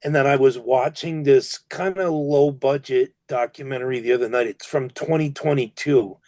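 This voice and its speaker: A man's voice: mid-range voice, normal speed, mellow, dry recording, clean studio sound. A man co-hosting a casual podcast, talking with loose enthusiasm. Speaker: podcast co-host.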